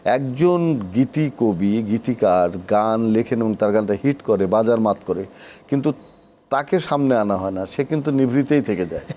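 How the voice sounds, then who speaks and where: Mellow Bangladeshi man hosting an interview. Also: lowish voice, lively intonation, moderate pace, slight background noise. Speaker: interview host, poet. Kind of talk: conversational interviewing.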